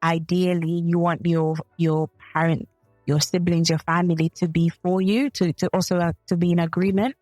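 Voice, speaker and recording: A woman's voice: on the low side, flat delivery, normal speed, a little mellow, no room sound, soft background music. A woman from Zambia co-hosting a faith and relationships podcast, speaking thoughtfully and often correcting herself mid-sentence. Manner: thoughtful, self-correcting, with frequent restarts. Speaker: podcast co-host. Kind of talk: casual chat.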